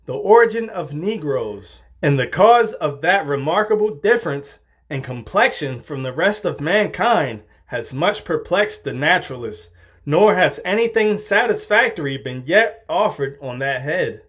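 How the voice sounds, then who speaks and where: Male narrator, fairly high voice, formal and measured, for scripted reference-style reading. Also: lively intonation, fairly bright tone, breathy edge. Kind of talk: scripted narration, reading dictionary and encyclopedia entries.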